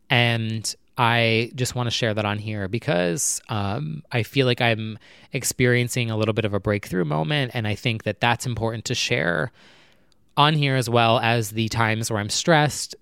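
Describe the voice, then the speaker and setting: A young man chatting casually at night, rambling with lots of fillers and talking low so his neighbors won't hear. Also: creaky, clear, resonant tone, no echo, studio quality. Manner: talking low and quietly to keep neighbors from hearing. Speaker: vlogger. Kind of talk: casual personal chat to camera. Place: apartment at night.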